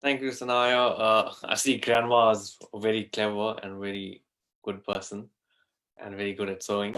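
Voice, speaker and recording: A man's voice: fairly low pitch, little pitch movement, moderate pace, fairly bright tone. A man with an Indian English accent hosting a kids' story session online, rambling with many fillers. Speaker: host.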